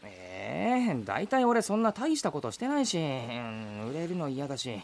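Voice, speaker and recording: A woman's voice: low voice, wildly swooping pitch, moderate pace, pitched below the performer's own voice, heavily acted. A woman playing a sceptical, grumbling young man, the blunt straight man of a comedic radio drama. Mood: wary, annoyed. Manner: blunt, grumbling retorts in casual male speech. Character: bored, sceptical ordinary young guy, exasperated straight man.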